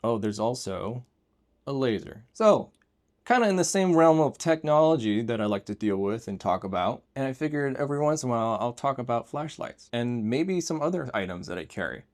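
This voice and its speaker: A man's voice: medium pitch, expressive, fairly quick, darkish tone. A man hosting an informal show-and-tell vlog, talking in a loose, rambling, enthusiastic way. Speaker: video host showing everyday tech gear. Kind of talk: casual chat, show and tell.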